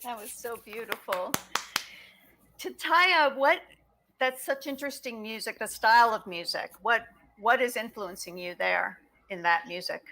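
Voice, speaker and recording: A woman moderating an online panel, taking questions from the chat in a warm, encouraging way. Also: fairly high pitch, measured pace, slight background noise, rough recording. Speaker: host, moderator.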